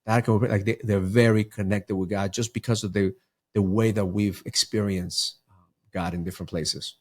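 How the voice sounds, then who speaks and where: A man's voice: fairly low pitch, fairly even intonation, normal speed. A middle-aged man from Ecuador, a mindset coach talking earnestly as a podcast guest, often repeating words as he restarts. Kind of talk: podcast interview.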